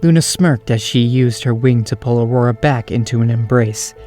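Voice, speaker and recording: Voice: medium pitch, moderate pace, warm tone. A narrator reading scripted storybook prose for an audio drama, over music.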